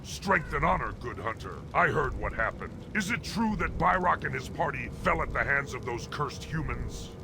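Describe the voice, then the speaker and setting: A man voicing a hearty, scheming orc hunting guild master in a fantasy game, with animated delivery. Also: medium pitch, moderate pace, creaky, hardly any pauses, over music, poor audio. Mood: persuasive. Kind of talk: video game character dialogue.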